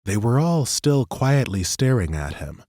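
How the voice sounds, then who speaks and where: A male narrator reading a fantasy audiobook in a steady, even storytelling style. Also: mid-range voice, measured pace, dry recording, studio quality.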